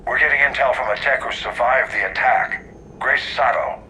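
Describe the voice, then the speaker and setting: A man's voice: mid-range voice, normal speed, bright, forceful tone, a little hiss or hum, poor audio. A man playing a stern, grave admiral in a video game, giving clipped, authoritative briefings. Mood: grave, urgent. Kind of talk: dramatic video game acting.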